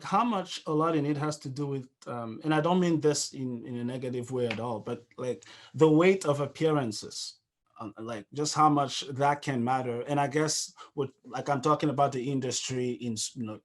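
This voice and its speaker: A man in his thirties from Cameroon in a casual question-and-answer conversation on a video call, speaking thoughtfully and often restarting as he searches for words. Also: medium pitch. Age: 30s. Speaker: musician and interview guest.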